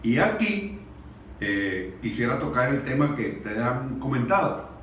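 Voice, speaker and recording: A Venezuelan man giving an earnest, deliberate and emphatic public speech in Spanish, pausing between short phrases. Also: mid-range voice, big pitch swings, fairly bright tone. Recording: very echoey room, distant mic, faint noise.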